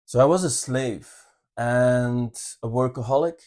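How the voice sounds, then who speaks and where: A man in his thirties with a Flemish Belgian accent talks in an unhurried, measured way. His delivery is earnest and halting, with frequent restarts, as if he is choosing each word with care. His voice sits at an ordinary middle pitch, and faint guitar plays behind him. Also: darkish tone. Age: 30s. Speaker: channel co-host. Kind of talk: personal life story, casual talk.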